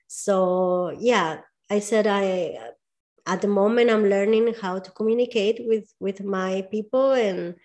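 A woman with a Spanish accent, a native Spanish speaker, talking as a movement coach in a casual interview. She speaks earnestly and often pauses to search for her words. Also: mid-range voice, darkish tone.

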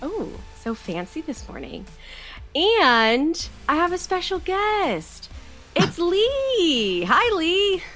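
A woman's voice: very high voice, wildly swooping pitch, a bit bright, faint music behind. Young female podcast host, bubbly, laughs often. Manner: bubbly, giggly, quick to laugh. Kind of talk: casual podcast chat.

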